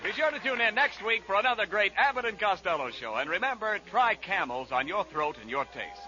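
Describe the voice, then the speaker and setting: A man's voice: high voice, expressive, a little fast, music in the background, crowd noise. A man announcing a 1940s radio show in a scripted broadcast style. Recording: muffled, faintly noisy 1940s radio.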